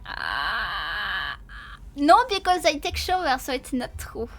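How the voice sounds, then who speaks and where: A French woman with a French accent chatting casually on a livestream, halting and repeating herself as she playfully protests to viewers. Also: on the high side, huge pitch swings, fairly bright tone. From France. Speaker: livestreamer, VTuber.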